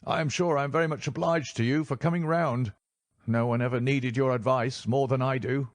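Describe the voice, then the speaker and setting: A man's voice: mid-range voice, steady tone, brisk, no room sound, pitched above the performer's own voice, close to an everyday voice. A man voicing a nervous, frightened fat older man with a reedy, quivering voice. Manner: anxious, pleading.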